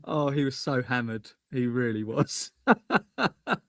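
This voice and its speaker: An English man co-hosting a casual live stream, chatty and quick to laugh. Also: mid-range voice, big pitch swings, a bit bright, dry recording, studio quality. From England. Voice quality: laughs often, loose and chatty. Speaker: co-host.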